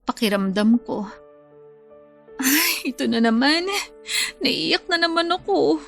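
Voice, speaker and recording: An actress playing a heartbroken, clinging young bride-to-be who confides plaintively and tearfully in a Filipino radio drama. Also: female voice, sits high, lively intonation, takes it slowly, gravelly, faint music behind, heavily acted. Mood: sad, subdued.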